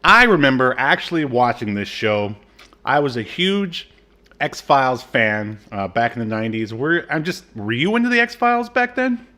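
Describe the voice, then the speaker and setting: Casual male podcast co-host in his thirties, mid-pitched and rambling. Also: sing-song, moderate pace, sharp tone. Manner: rambling, many fillers and restarts. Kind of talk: casual chat.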